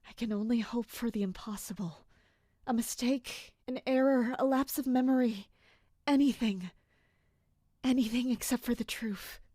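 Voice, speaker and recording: An actress playing a serious, duty-bound young woman officer in a fantasy audio drama, speaking in a reserved, formal, measured way. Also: on the high side, mellow, no echo, lightly acted. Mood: anxious.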